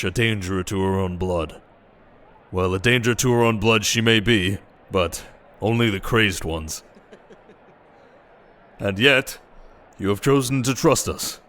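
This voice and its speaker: A man's voice: mid-range voice, a bit bright, natural voice. A man playing a confident, protective desert king with a commanding, dryly teasing manner in a fantasy audio roleplay. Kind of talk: dramatic ASMR roleplay acting.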